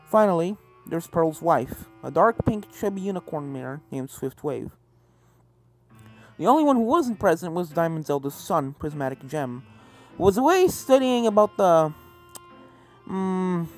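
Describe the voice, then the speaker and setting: A man's voice: high voice, darkish tone. A man reading a scripted fan fiction story aloud as its narrator. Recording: game music under the voice. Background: video game soundtrack music.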